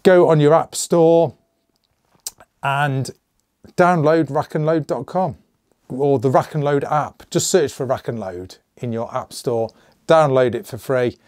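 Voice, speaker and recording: Middle-aged host, slightly hoarse, chatty and rambling, dry close mic. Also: male voice, mid-range voice, measured pace, darkish tone, no echo. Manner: chatty, rambling, jokey asides. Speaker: channel host. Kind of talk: casual to-camera channel update. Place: small indoor gun room.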